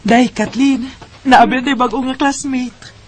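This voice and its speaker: An actress playing an excitable, gossipy young female classmate in a Bisaya radio drama from the Philippines, exclaiming and teasing. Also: high voice, unhurried, a little hiss or hum. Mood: excited, teasing. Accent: Filipino, Bisaya. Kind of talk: Filipino radio drama acting.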